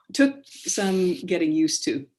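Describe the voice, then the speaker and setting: A Canadian woman poet talking over a video call, measured and thoughtful, with many pauses and restarts. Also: lowish voice, a little mellow. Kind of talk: online book launch conversation and poetry reading.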